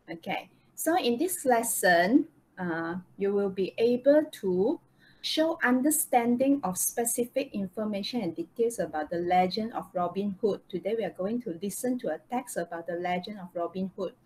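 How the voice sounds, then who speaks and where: A woman's voice: mid-range voice, expressive, normal speed, a little mellow. A woman with a Malaysian accent teaching a live online English lesson, explaining step by step and checking understanding. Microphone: video call microphone. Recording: noisy online video call.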